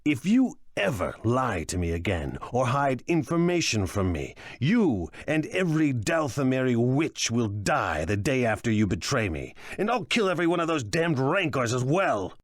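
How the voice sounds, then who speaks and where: An actor playing a cunning, ruthless crime lord in a video game, speaking in a measured, condescending and deliberate way. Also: male voice, mid-range voice, big pitch swings, dry recording, clean studio sound. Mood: menacing. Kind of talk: dramatic video game voice acting.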